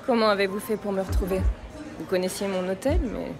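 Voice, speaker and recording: An actress playing a weary, flirtatious gangster's companion in an audio drama, teasing and direct. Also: female voice, mid-range voice, steady tone, a little fast, a crowd in the background, lightly acted. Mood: coy. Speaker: voice actor. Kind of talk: French audio drama acting. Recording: loud music behind the voice.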